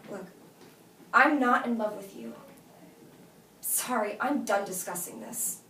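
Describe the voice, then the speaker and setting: A woman's voice: fairly high pitch, slow pace, vocal fry, sharp tone, heavily acted. An actress playing a blunt, exasperated woman turning down a friend, speaking emphatically in a naturalistic drama read-through. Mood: apologetic, firm. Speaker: actress at a script table read. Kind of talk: naturalistic film drama dialogue read aloud. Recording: roomy echo, distant mic, faint noise.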